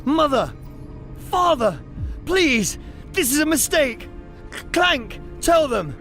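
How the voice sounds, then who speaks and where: A panicky, scheming young wolf played by a man blusters and stammers in a very high voice. The pitch leaps about whinily, with faint music behind, in a sci-fi radio drama. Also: sing-song, slow pace, full character voice. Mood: desperate pleading. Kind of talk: sci-fi audio drama acting.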